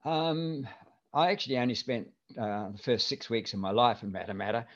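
An older man from New Zealand with a mild New Zealand accent, chatting as an interview guest in a relaxed, rambling way with lots of fillers. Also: mid-range voice, moderate pace. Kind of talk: casual interview chat. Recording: dull lo-fi remote audio.

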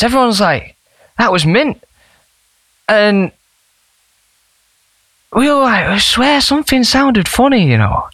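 A young man, a band member chatting as a podcast guest, telling rambling, animated stories. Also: high-pitched, no room sound. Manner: animated, rambling storyteller with laughs. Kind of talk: casual storytelling chat.

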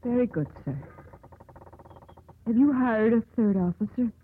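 An actress playing a steely young woman sea captain in 1950s radio drama style, crisp, formal and authoritative. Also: fairly high pitch, animated, unhurried. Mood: stern, formal. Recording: tinny, noisy 1950s radio broadcast.

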